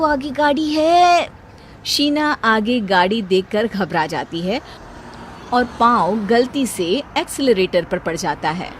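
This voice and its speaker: A woman's voice: mid-range voice, a little hiss or hum. A woman narrating a scripted family story as the storyteller.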